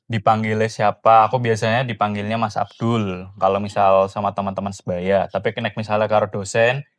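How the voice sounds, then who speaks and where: A young man speaking with a Banyumasan Ngapak accent, his voice sitting somewhat low. He chats loosely and wanders in his talk, mixing slang with Javanese. The sound is dry and close, with no room echo. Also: a little fast. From Cilacap, Central Java. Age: young adult. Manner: relaxed, rambling, mixes slang with Javanese. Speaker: podcast guest, university student. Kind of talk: casual podcast chat.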